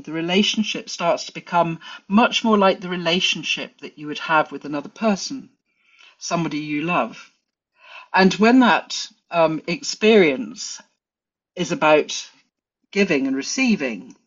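English woman guest, musing and unhurried, muffled lo-fi recording. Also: on the low side. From England. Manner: thoughtful, many fillers and restarts. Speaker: guest forager. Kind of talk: casual interview chat.

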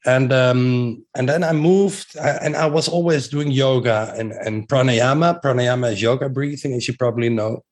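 A middle-aged man with a strong Dutch accent, a guest talking about his breathing work in an eager, rambling way with many restarts. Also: mid-range voice. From Netherlands. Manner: enthusiastic, with frequent restarts and fillers. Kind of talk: casual interview talk.

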